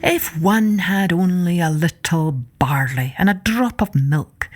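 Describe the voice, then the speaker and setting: A woman's voice: fairly low pitch, slow pace, faint music behind. A woman voicing a wily male tramp in a children's folktale, musing and coaxing with wise little sayings. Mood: grandly persuasive. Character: wily male tramp, smooth-talking sly trickster.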